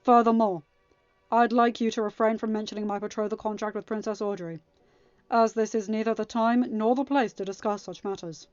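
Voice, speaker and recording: A woman's voice: mid-range voice, fairly even intonation, brisk, darkish tone, no echo, dull audio, natural voice. A woman voicing a firm, formal young king presiding over a council in a story reading. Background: music.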